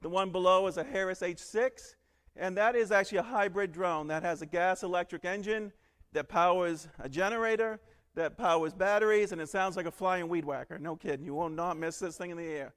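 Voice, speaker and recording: A man from northern Alabama giving a quick, joking technical talk at a conference. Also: sits high, fairly bright tone. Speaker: conference presenter. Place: large conference hall. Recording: long hall reverb.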